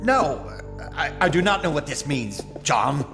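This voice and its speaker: An actor playing Peter, an impulsive, anxious disciple who speaks with agitated emphasis. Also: male voice, on the high side, sing-song, measured pace. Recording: music score behind.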